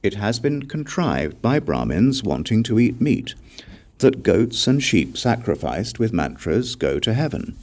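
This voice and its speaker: Guy as defiant outcast king, slow n really expressive, arguing hard, scripture audiobook. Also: on the low side, pitched above the performer's own voice, full character voice. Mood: indignant reasoning. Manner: rhetorical, emphatic argument. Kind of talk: dramatic audiobook reading of scripture.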